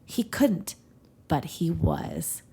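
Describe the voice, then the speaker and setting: A woman's voice: deep voice, wildly swooping pitch, very slow, creaky, mellow, husky. A woman co-hosting a comedy podcast, moving between casual banter with friends and reading a story aloud. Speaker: podcast co-host.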